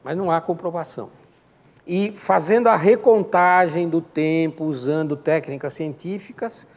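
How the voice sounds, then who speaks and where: A man's voice: on the high side, darkish tone. An older man with a Brazilian accent teaches an informal Bible class in a didactic way, often posing questions and answering them himself. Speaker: Sunday school teacher. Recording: long hall reverb.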